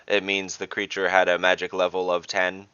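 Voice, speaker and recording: A man performs a lumbering robot from a fantasy story, loud, mechanical and low. It makes terse, deadpan announcements with a bright, hard edge. The recording is close and dry. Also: normal speed, smooth, dry recording, highs cut off. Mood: flat, literal.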